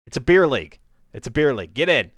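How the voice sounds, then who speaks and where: A man's voice: mid-range voice, big pitch swings, fast, fairly bright tone, no echo. A man commentating live on a racing stream as its host, in folksy, chatty announcer patter with jokey asides. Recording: racing car noise behind the voice. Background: car engines and race ambience.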